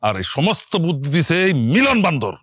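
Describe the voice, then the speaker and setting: An actor playing a stern, scolding elder uncle in a village family drama, with a Bangladeshi accent and an accusing manner. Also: male voice, a little high, wildly swooping pitch, fairly quick, fairly bright tone, no echo, studio quality, exaggerated voice. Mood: indignant, stern. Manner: accusing, interrogating, threatening. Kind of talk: television family drama acting.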